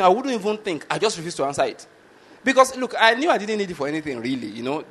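A man's voice: a little high, fairly bright tone, breathy edge. A man from Nigeria with a Nigerian accent, a lecturer whose first language is Yoruba, telling a casual story with frequent chuckles and rhetorical questions to the listener. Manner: chuckling, rhetorical, often asks "you understand my point?". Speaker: medical lecturer.

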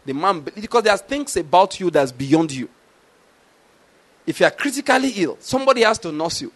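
A man's voice: sits high, very animated, a little fast, fairly bright tone. A middle-aged man preaching a sermon, telling stories and asking rhetorical questions. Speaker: pastor.

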